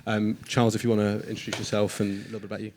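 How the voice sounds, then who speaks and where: A man moderating a live panel, brisk and hospitable, sometimes tripping over repeated words as he sets up questions. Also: on the low side, completely flat delivery, a little mellow, slight background noise. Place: event room with an audience.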